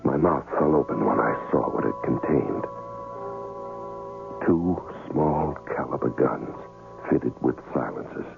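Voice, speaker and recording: Very deep, gravelly, guarded bluffing impostor. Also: male voice, a little slow, over music, full character voice. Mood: tense, reflective. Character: amnesiac man bluffing as a hired assassin, guarded. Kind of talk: 1970s radio drama acting with first-person narration. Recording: tinny vintage radio recording.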